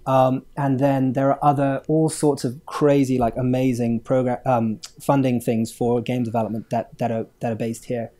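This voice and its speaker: A man's voice: mid-range voice, level tone, moderate pace, hardly any pauses, dark tone. A man, born in Hong Kong, chatting as a podcast guest with many fillers and chuckles. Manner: rambling, many fillers, chuckles mid-sentence. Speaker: game studio owner, guest. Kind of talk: casual interview chat.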